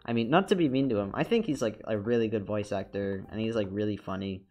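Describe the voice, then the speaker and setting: A man's voice: on the low side, fast, a little mellow. A young man with a congested, nasal voice chatting casually as a streamer while he animates, rambling with repeated words and laughs.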